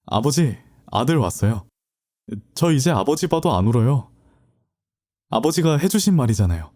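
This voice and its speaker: Man acting earnest young student, mellow and muted. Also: mid-range voice, sing-song, normal speed. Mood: sorrowful, restrained. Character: earnest, dutiful young male student, burdened but resolute. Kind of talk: Korean audio drama acting.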